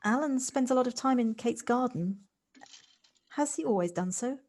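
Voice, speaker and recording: Actress as effusive, encouraging woman, higher voice. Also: animated, normal speed, darkish tone. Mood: concerned. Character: effusive, encouraging woman, theatrical and tenderly sympathetic. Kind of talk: amateur audio drama acting. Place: recorded at home on a personal device.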